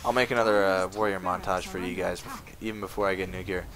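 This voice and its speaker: A man talking casually over his gameplay footage, rambling with lots of fillers, his voice going hoarse. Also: sits low, fairly even intonation, fast talker, background noise. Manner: rambling, full of uh fillers, chatty. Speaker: gaming video maker. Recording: game music playing underneath. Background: game music.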